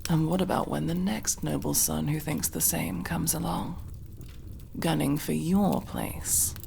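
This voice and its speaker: Woman acting a gravelly, low-voiced menacing elf woman. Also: fairly even intonation, warm tone, a little hiss or hum, exaggerated voice. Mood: scornful, probing. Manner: taunting, drawn-out delivery dropping into breathy whispers. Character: menacing masked elf woman, mocking and defiant interrogator. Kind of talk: ASMR roleplay acting.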